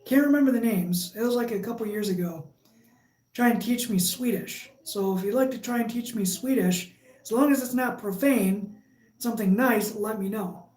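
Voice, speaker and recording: A woman with a Michigan accent hosting a casual live stream, chatting with viewers in a relaxed, halting way with lots of fillers and restarts. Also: a little high. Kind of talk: casual live chat, reading viewer comments. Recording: echoey room.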